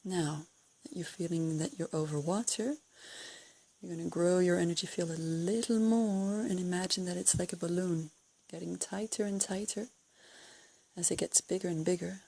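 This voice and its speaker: A woman leading a guided meditation, giving calm, unscripted instructions in a soothing, breathy way with gentle pauses. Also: lowish voice, rough recording. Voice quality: breathy, husky, creaky.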